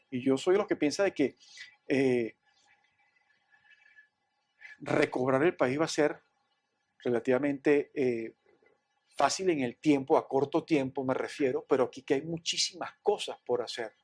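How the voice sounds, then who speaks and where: A man with a Venezuelan accent answering interview questions in an earnest, halting way, often repeating words. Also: medium pitch, a little mellow, breathy edge. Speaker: interview guest, businessman.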